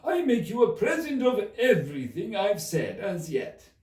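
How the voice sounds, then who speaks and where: A man voicing a gushing, moralizing old Duchess for a storybook, with theatrical, drawn-out, sing-song pronouncements and overbearing affection. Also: high-pitched, very animated, darkish tone, pitched above the performer's own voice. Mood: pleased, overbearing. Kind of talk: theatrical storybook character reading. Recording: roomy, echoey, distant from the mic.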